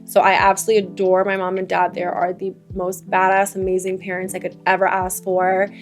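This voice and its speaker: A young woman co-hosting a podcast, whose first language is Farsi. She speaks in an earnest, enthusiastic way with a flat, even pitch. Faint music plays under her voice. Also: fairly quick. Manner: earnest, enthusiastic about fitness. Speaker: podcast co-host. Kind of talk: casual chat.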